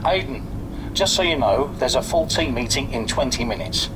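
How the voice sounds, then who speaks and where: A man acts a businesslike racing team boss in a game cutscene. He is measured and approving, with a mid-pitched voice over a clear rumble. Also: lively intonation, close to an everyday voice. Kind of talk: naturalistic video game cutscene acting.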